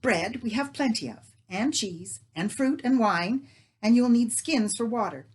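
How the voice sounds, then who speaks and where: A woman voicing a fussing, talkative middle-aged baker's wife who rattles on in a shrill, bustling way. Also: big pitch swings, moderate pace, husky. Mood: busy.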